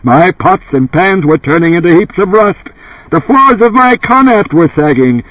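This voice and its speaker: A man puts on a brassy, bellowing housewife giving a TV testimonial in an audiobook. The voice is pushed high and swoops with gushing salesmanship, heard dry through a narrow, radio-like band. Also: expressive, moderate pace, no room sound. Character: hard-eyed, brassy housewife in a gushing TV commercial.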